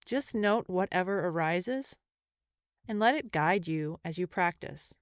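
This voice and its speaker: A woman leading a guided meditation as a mindfulness teacher, speaking gently and in measured phrases with pauses. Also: on the low side, expressive, no echo, studio quality.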